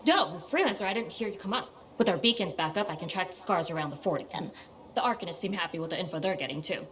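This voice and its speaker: An actress playing an earnest, orderly scout in a video game, matter-of-fact and slightly awkward. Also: female voice, on the low side, lively intonation, fast talker, fairly bright tone. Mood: upbeat. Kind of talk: video game cutscene acting.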